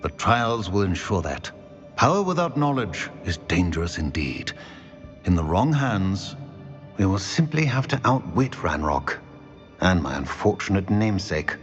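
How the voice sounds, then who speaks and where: Elderly wizard, stately, emphatic, wide intonation, slightly dull sound, fantasy game. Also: male voice, sits low, sing-song, unhurried. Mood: solemn. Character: grave, formal old wizard living in a portrait. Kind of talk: dramatic video game acting. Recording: dull sound under clear music. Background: game score.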